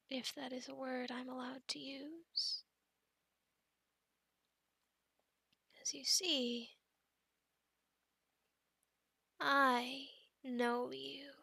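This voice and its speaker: A woman playing a possessive, obsessive female werewolf in an ASMR roleplay, often whispering, formally worded and softly menacing, close to the microphone. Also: sits high, no echo, full character voice. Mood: obsessive, intense. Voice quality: breathy whispering. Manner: slow, formal wording, soft menacing coaxing, often whispering.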